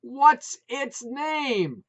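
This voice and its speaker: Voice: mid-range voice, unhurried, voice pushed up, lightly acted. A reader voicing a harried husband straining to be heard over a noisy phone call. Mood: yelling. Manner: raised, strained yelling.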